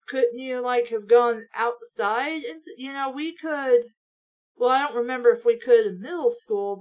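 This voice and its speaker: A woman's voice: fairly high pitch, a little mellow, poor audio. A woman reading aloud casually with blunt, sweary, sarcastic running commentary in a ranting manner.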